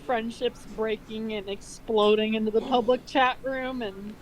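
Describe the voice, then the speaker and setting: A woman in her twenties chats casually as a co-host. Her voice is fairly high. She is blunt and sarcastic, prone to exaggeration and free with swear words. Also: little pitch movement, a little slow, rough recording. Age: 20s. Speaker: video co-host. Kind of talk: casual chat, reminiscing.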